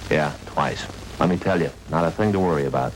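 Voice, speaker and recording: A deep-voiced, reassuring police partner. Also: male voice, a little fast. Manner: soothing, laconic. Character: reassuring male police partner, a seasoned father of two. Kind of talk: 1950s radio drama acting. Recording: noisy old-time radio recording.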